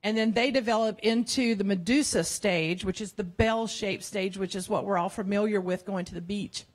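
A woman's voice: mid-range voice, moderate pace. Older woman, marine science talk, casual and playful, handheld mic, big hall. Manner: chatty and playful, with asides and self-corrections. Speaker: marine educator giving a public science talk. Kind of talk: informal science cafe lecture. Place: large museum cafe hall with a seated audience. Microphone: handheld microphone.

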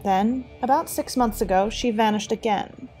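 A woman's voice: a little high, normal speed, a little mellow, lightly acted, poor audio. A woman playing a wary, well-informed informant in a fantasy audio drama, briefing formally and matter-of-factly. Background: music.

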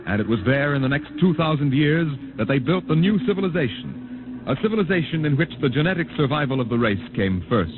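A man narrating as a radio announcer in a dramatic, scripted 1950s style. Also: medium pitch. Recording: muffled old-time radio recording, music behind.

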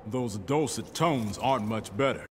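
Guy playing tough soldier in a fighting game, wry and blunt, normal pitch, noisy behind. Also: expressive, a little slow, darkish tone, close to an everyday voice. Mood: gruff banter. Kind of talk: video game fighter banter. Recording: game ambience noise behind.

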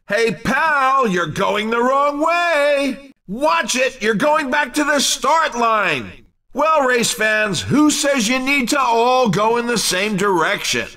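Casting: male game race announcer, bright forceful high voice, theatrical and jokey, drawn-out delivery. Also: distant mic. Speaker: race commentator in a video game. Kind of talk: excited cartoon racing game commentary.

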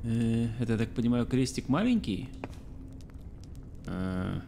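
A man's voice: mid-range voice, expressive, measured pace, vocal fry, dark tone, a little hiss or hum. A man in his thirties streaming a game from a small room, chatting casually, thinking aloud and answering his chat. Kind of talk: casual let's-play commentary. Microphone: studio mic on arm by face.

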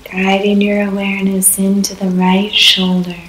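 A woman leading a guided yoga nidra relaxation in a soft, soothing voice, with long pauses between short phrases. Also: mid-range voice, monotone. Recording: echoey room, faint background noise.

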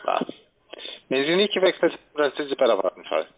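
Fast-talking man on a tinny phone line. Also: medium pitch, huge pitch swings, vocal fry. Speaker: telemarketing caller selling electricity contracts. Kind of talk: phone sales call.

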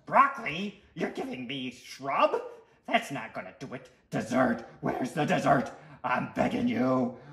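A man's voice: a little high, vocal fry, sharp tone, reverberant, full character voice. A man voicing a bossy, greedy, wisecracking talking tooth from a children's storybook, brash and demanding.

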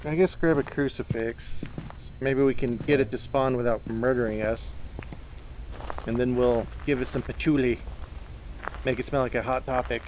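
A man's voice: medium pitch, fairly even intonation, moderate pace, darkish tone, soft background music, low-quality recording. A man giving casual, joking and swearing live commentary while playing a co-op horror game as a streamer. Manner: jokey, profane.

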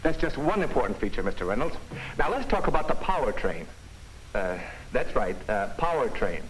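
An actor plays an earnest American car salesman talking on the phone. His medium-pitched voice moves in lively swings. It comes from a 1950s film with a faint hiss on the soundtrack. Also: moderate pace, natural voice, rough recording. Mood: earnest pitching.

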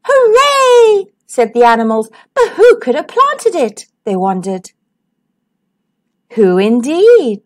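A woman reading a picture book aloud to children in a sing-song voice, with dramatic pauses. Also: sits high, huge pitch swings. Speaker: children's storyteller.

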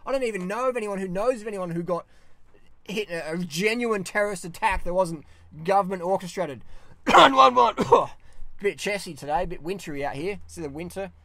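Aussie bloke in his car on a dash cam, swears heaps, talks with his hands, fairly high voice. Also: no room sound. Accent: Australian. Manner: blunt, swears a lot, animated hand gestures. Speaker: vlogger. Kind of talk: casual ranting vlog. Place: parked car. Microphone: camera on the dashboard.

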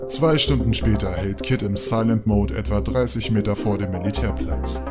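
A man's voice: lowish voice, normal speed, loud music behind the voice. A man giving scripted narration for an audio drama.

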